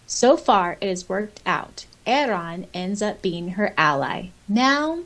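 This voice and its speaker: A woman's voice: mid-range voice, expressive, slow, a bit bright. A woman co-hosting a podcast, chatting casually and informally about fan topics with a giggly streak. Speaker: podcast co-host. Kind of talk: casual fan discussion, reading listener comments aloud.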